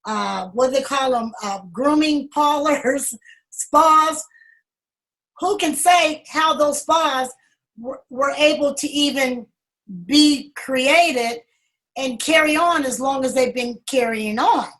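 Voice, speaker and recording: A woman, a guest on a talk panel, speaking hesitantly with many pauses and ums. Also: sits high, a bit bright, rough recording. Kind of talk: public affairs panel talk.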